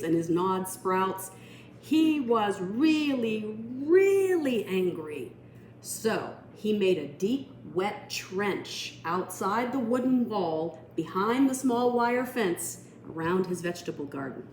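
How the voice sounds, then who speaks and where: A woman reading a picture book aloud for children, expressive and explanatory, with teacherly asides. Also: mid-range voice. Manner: explanatory, expressive, pausing to define words. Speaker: storytime reader. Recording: echoey room with faint noise.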